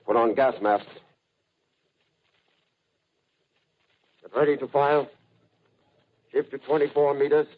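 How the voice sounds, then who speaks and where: An actor playing a brisk, commanding artillery officer giving short, clipped orders in a 1930s radio drama. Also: male voice, medium pitch, close to an everyday voice. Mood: urgent. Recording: tinny old radio, faint background noise.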